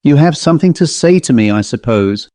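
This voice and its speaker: A man voicing a gentle but firm mistress of a household who presses her servant to speak plainly. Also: medium pitch, lively intonation, brisk, no echo, studio quality, lightly acted. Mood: grave. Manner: coaxing, then insisting on plain speech.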